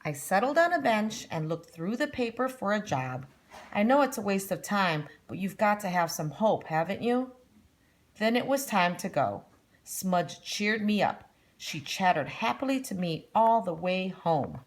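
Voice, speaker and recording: A woman's voice: medium pitch, expressive, a little slow, natural voice. A woman voicing a weary, down-on-his-luck father in a storybook read-aloud, plain-spoken and downbeat. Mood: weary, wistful.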